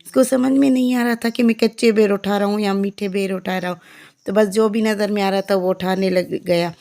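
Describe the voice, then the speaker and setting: A woman with a Pakistani accent and a hoarse, heavy voice, narrating her village vlog in a chatty, repetitive way. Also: mid-range voice, fairly even intonation, brisk, dark tone. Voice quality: heavy, croaky voice. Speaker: family vlogger. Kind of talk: casual vlog voice-over.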